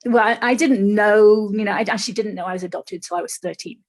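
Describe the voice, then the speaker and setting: A woman's voice: medium pitch, fast talker. An older woman from England with a mid-Atlantic accent that still has some English in it. She tells her life story in a podcast interview over a video call, candid and reflective, with some hesitations. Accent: mid-Atlantic, slightly English. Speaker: guest. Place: small room with purple light. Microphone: video call, dark mic in front.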